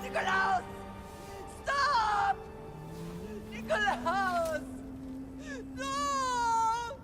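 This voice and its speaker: A woman's voice: very high voice, lively intonation, very slow, over music, heavily acted. A woman playing a desperate mother in a dramatic video game, crying and pleading in anguish.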